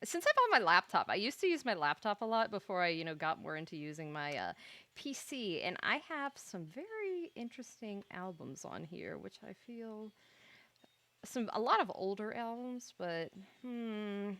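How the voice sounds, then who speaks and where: A woman's voice: mid-range voice, very animated, normal speed, sharp tone, no echo. A woman hosting a livestream, speaking in a casual, rambling way as if thinking aloud. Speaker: livestream host, VTuber. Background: background music.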